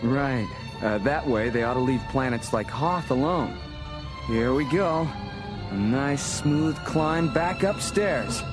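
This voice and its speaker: A man's voice: medium pitch, animated, slow pace, poor audio. A man playing an eager, earnest young rebel starfighter pilot in a space adventure radio drama. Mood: calm, reassuring. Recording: radio drama with loud music behind.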